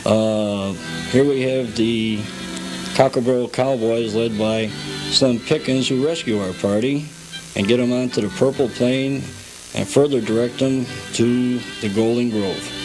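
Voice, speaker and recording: A man's voice: medium pitch, steady tone, measured pace, music in the background, rough recording. A man recorded at home as an interview guest, telling a story step by step in an informal guided way. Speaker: guest, hobbyist showing the village they built. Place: at home, indoors.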